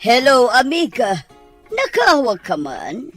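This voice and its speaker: A woman's voice: sits high, huge pitch swings, slow pace, fairly bright tone, faint music behind, heavily acted. An actress playing a protective, worrying mother and wife in a radio drama. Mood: surprised, worried.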